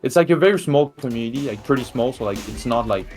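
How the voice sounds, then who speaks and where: A man with a Québécois French accent chats about a game in a loose, halting way, stacking fillers and restarting his words. Game music plays clearly under his voice. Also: medium pitch, expressive, darkish tone. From Quebec. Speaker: speedrun verifier. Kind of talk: casual gaming chat.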